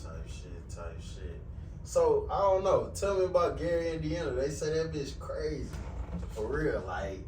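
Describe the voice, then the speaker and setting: Slow-talking man hosting a podcast. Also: on the high side, very animated, a little mellow, a little hiss or hum. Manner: laid-back, slangy, profane. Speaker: podcast host. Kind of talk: casual podcast chat. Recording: echoey room, mic at a distance.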